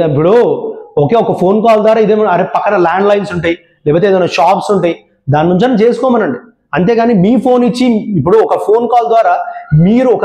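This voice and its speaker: A man gives an earnest warning talk straight to his viewers and repeats his points often. His voice sits high but sounds mellow and dark, and the room rings with a noticeable echo. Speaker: channel presenter. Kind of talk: public awareness talk to viewers. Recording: roomy echo.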